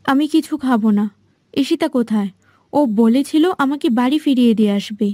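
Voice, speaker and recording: A woman voicing a trusting, puzzled young girl in a fairy-tale cartoon, with a soft, wondering manner. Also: high-pitched, brisk, no room sound, clean studio sound, natural voice. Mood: wary.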